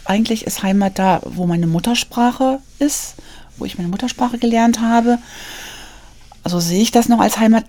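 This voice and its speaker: A woman speaks in a mellow, warm voice, earnest and reflective. She pauses often and searches for her words. She sits at a studio mic in an interview. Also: mid-range voice. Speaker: author interviewed about portraits of immigrant women. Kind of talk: thoughtful interview answers.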